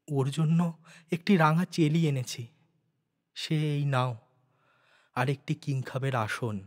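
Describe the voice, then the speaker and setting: Man voicing an earnest, soft-spoken, resolute young woman. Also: mid-range voice, big-room sound, natural voice. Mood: gentle, resolute. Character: earnest, devoted young woman, once outcast, now resolute.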